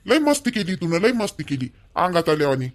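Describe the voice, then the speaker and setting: A man plays a scolding young village husband in a homemade cartoon. His voice sits high, and he nags bluntly and repetitively in a rural Vidarbha Marathi accent. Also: big pitch swings, brisk. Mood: scolding, accusing. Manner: blunt, nagging, repetitive complaining. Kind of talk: amateur cartoon dialogue in rural dialect.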